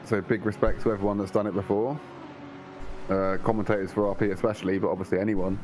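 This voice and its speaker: A man commentating a long race, hoarse from hours of talking, friendly and teasing with halting speech. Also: lowish voice, level tone, a little mellow, a little hiss or hum. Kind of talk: casual commentary chat.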